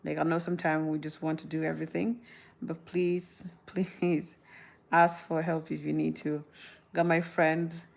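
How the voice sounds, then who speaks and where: Woman, 30s, from South Sudan, chatty vlog Q&A delivery; low, gravelly tone. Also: flat delivery, measured pace, darkish tone. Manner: chatty, laughs often, rambling restarts. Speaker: vlogger answering viewer questions. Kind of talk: casual talk to camera.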